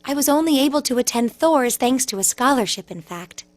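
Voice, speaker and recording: High-voiced, gentle scholarly girl. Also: lively intonation, a little fast. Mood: polite, modest. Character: polite, modest, gentle teenage girl scholar. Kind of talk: video game voice acting.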